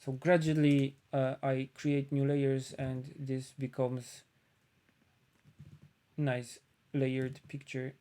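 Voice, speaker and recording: A man from Poland with a Polish accent, chatting casually on a live stream as he paints, thinking aloud with frequent pauses. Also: medium pitch, flat delivery, dark tone, clear, resonant tone.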